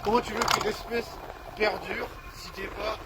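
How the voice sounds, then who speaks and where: A man's voice: high-pitched, steady tone, unhurried, heavy background noise. A young man rambling in casual slang about his day, cold and coming down with a bug, recorded outdoors. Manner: slangy, rambling, self-mocking. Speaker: vlogger. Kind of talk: rambling outdoor vlog monologue.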